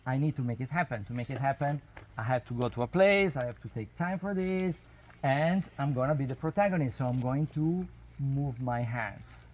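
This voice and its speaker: A man who is not a native English speaker gives an informal explanatory talk to a group in a halting, emphatic way, with non-native phrasing. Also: mid-range voice. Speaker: spiritual workshop leader. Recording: noisy outdoor recording, natural ambience.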